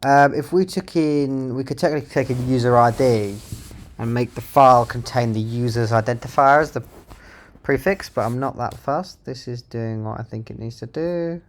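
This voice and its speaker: A man's voice: mid-range voice, moderate pace. Man casually thinking aloud as he codes. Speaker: software developer presenting own project. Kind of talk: casual live-coding narration, thinking aloud.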